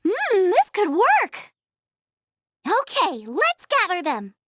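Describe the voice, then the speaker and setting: A woman's voice: very high-pitched, huge pitch swings, takes it slowly, fairly bright tone, no echo, clean studio sound, exaggerated voice. A woman voicing a bubbly, adventurous teenage girl chef in a video game, eager and confident, often exclaiming. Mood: cheerful, confident. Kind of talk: video game character acting.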